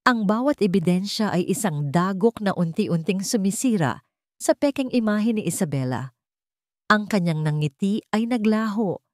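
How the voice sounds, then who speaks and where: A woman's voice: medium pitch, a little mellow, no echo, clean studio sound. A woman reading a dramatic scripted story as its narrator.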